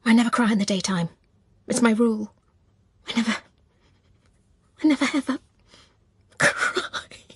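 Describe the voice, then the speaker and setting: A woman voicing a highly strung, protective mother in an audiobook, with a tense, insistent manner. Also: steady tone, fast talker, a little mellow, breathy edge, no room sound. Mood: upset.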